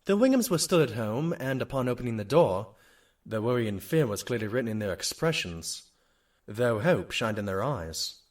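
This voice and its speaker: A man's voice: mid-range voice, big pitch swings, moderate pace. A man playing an earnest, compassionate doctor pony, the detective's companion, in a scripted audio drama. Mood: subdued narration.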